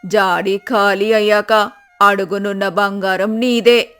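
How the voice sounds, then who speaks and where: A woman's voice: mid-range voice, steady tone, slow, a bit bright, clean tone, faint music behind. A woman voicing a shrewd older village innkeeper in a folk tale, sly and persuasive. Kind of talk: dramatized folk tale acting.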